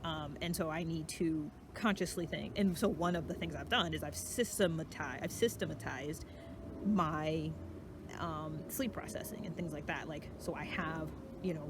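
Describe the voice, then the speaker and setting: Vlog host, mid-pitched voice, conversational and self-reflective, thinking aloud over clearly noisy vehicle-like ambience. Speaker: channel host. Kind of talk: casual reflective solo vlog about personality typing.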